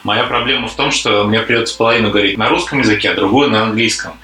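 A man with a low, bright, forward voice talks through ideas in a loose panel chat. He hesitates and fills gaps with "uh" as he thinks. The room around him is noticeably echoey. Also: very fast. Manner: thoughtful, hesitant with frequent fillers. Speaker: conference program committee member. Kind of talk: casual panel discussion.

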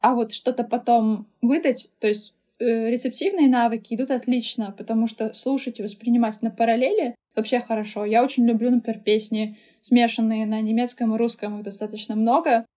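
A woman's voice: on the high side, fairly even intonation, a little fast, hardly any pauses, mellow. A young woman from Russia, chatting casually as a podcast guest and explaining things with everyday examples and slang. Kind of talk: casual interview chat.

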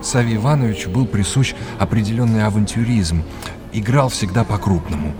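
A man acting as an erudite art historian with a deep voice. He is a wry mentor in an audio play, lecturing with old-fashioned courtesy and gentle irony over clearly audible music. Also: a little fast, close to an everyday voice. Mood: expansive, informative. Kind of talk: naturalistic audio drama acting.

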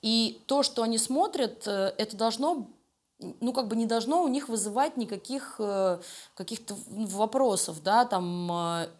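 A woman's voice: on the high side, a little slow. A Russian woman giving conversational interview answers in an emphatic, colloquial and enthusiastic way. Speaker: animation studio creative director, interview guest.

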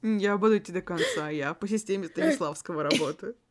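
A woman's voice: mid-range voice, very animated, a little fast. A woman co-hosting a podcast, chatting casually between reading a story aloud, with a giggly, theatrical, foul-mouthed manner. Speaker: podcast co-host.

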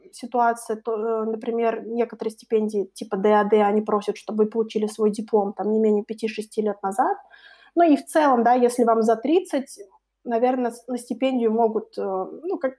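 A woman's voice: a little high, mellow. A young woman from Russia answering as an interview guest, talking informally and explaining points with imagined quotes. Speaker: interview guest, co-founder of a study-abroad project.

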